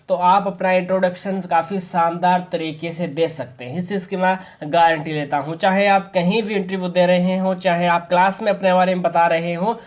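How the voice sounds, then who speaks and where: A man with an Indian accent giving a spoken English lesson. He mixes in English phrases and says them slowly and deliberately as examples. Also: sits high. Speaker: teacher.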